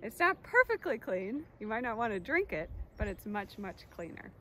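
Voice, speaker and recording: A woman's voice: mid-range voice, sing-song, moderate pace, pushed and bright. A young adult woman giving an educational demonstration outdoors for viewers. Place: snowy yard outdoors. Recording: outdoor camera audio, faint noise.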